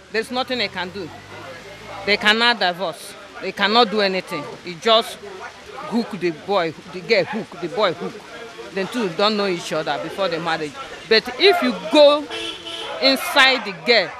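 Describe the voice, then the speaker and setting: A young woman answering a street interview outdoors into a handheld mic, earnest and halting, repeating her points. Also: medium pitch, pushed and bright, poor audio. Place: outdoors on a roadside. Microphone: handheld mic held by interviewer.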